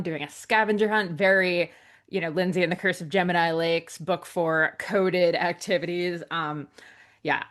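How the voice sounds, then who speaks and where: A woman's voice: lowish voice, fairly bright tone. A woman hosting a podcast in a chatty, rambling way, with many fillers and restarts. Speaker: podcast host. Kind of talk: casual podcast chat.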